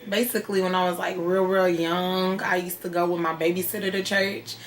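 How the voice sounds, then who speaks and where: A woman's voice: on the low side, level tone, a little fast. A woman in her twenties answering interview questions casually, with many fillers. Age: 20s.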